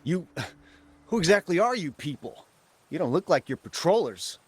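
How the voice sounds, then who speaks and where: A man's voice: fairly high pitch, big pitch swings, normal speed, faint music behind. A man voicing a brash, greedy crook in a video game, with a blustering, dismissive manner. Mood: wary, defensive. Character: brash, greedy male crook running an art forgery racket. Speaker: game villain.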